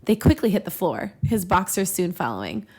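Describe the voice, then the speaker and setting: A woman's voice: fairly low pitch, big pitch swings, normal speed, a little mellow, breathy edge. Woman podcaster, giggly and irreverent. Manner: giggly, irreverent, conversational asides. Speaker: podcast host reading fan fiction aloud. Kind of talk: storybook-style reading mixed with casual banter.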